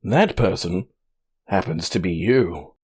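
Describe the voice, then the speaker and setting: Dramatic letter reading: earnest, proud, lovestruck male fiancé, acted by a man, emphatic and very animated in pitch. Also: slow, slightly rough, exaggerated voice. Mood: aggrieved, indignant.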